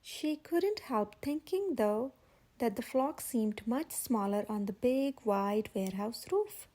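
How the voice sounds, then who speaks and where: A woman reading a children's picture book aloud in a measured, expressive storytelling manner. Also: a little high. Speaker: children's story channel host and reader. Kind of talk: scripted read-aloud narration of a picture book.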